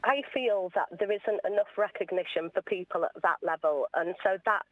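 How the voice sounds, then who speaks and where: A woman's voice: mid-range voice, normal speed, dry recording, phone-quality sound. A woman being interviewed on radio as an awards founder, explaining things earnestly in a conversational way.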